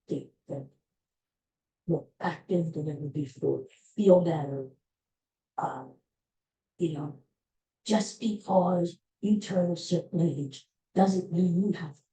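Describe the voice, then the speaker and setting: Solo woman podcaster, slow and mellow, folksy rambling advice. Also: on the low side, poor audio. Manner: addresses listeners as folks. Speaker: podcast host. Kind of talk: casual solo podcast monologue.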